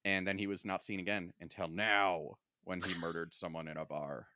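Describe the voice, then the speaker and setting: Male podcast co-host, slightly low voice, opinionated explainer, casual delivery, very dry recording. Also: level tone, moderate pace, sharp tone, no echo.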